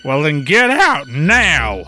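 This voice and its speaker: An actor playing a small, brave, cheeky frog in an animated children's fairy tale, with a taunting, commanding, theatrical delivery. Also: medium pitch, slow, soft background music, exaggerated voice. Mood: bold, taunting.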